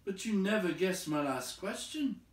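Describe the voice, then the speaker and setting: A man's voice: sits high, lively intonation, moderate pace, pitched above the performer's own voice. A man voicing a plucky, exasperated little male adventurer in a storybook, calling out insistently. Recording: echoey room, distant from mic.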